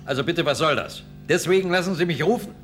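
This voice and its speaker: A man's voice: fairly high pitch, lively intonation, quick pace, a bit bright, faint music behind. An actor playing a brusque, authoritative middle-aged spaceship commander and father in a German science-fiction radio drama. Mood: incredulous.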